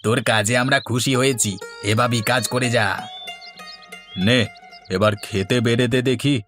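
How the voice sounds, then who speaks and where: A man's voice: medium pitch, steady tone, unhurried, a bit bright, faint music behind, natural voice. A man playing a lazy, scheming village elder brother in a cartoon fairy tale, giving orders in a bossy way. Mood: bossy, smug. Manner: bossy, commanding.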